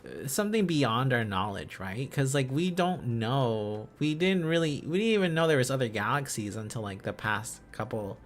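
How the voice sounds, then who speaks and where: A man chatting casually as a livestream host, musing aloud in halting, repeated phrases. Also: mid-range voice, big pitch swings, slight background noise. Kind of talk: casual livestream chat while learning a language.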